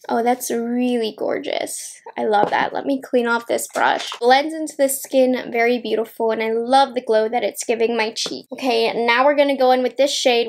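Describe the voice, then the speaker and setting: Female beauty vlogger, twenties, slightly high voice, informal talk to camera, chatty think-aloud delivery. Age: 20s. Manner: chatty, thinking aloud while applying makeup. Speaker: beauty vlogger reviewing makeup. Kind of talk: casual chat to camera, makeup review. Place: small indoor room at home.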